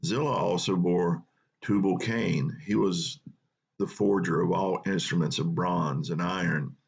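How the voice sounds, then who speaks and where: Gravelly deep-voiced man reading scripture. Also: steady tone, muffled recording. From North Carolina. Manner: unhurried, plain reading with small restarts. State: low bass morning voice. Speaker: Bible study host.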